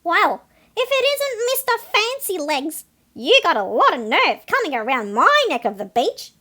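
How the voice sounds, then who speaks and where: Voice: very high voice, very animated, normal speed, bright, forceful tone, heavily acted. A reader voicing a boastful, melodramatic seagull in a children's picture book, with a brash, wisecracking, theatrical delivery. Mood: cocky, scheming. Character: boastful, melodramatic cartoon seagull, streetwise snack thief. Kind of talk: animated picture-book read-aloud for children.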